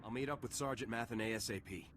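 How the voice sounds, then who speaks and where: A man playing a steady, earnest heroic army lieutenant in a game, confident and clipped. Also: mid-range voice, fast talker, noisy background, close to an everyday voice. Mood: dutiful. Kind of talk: video game cutscene acting. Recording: music behind the voice.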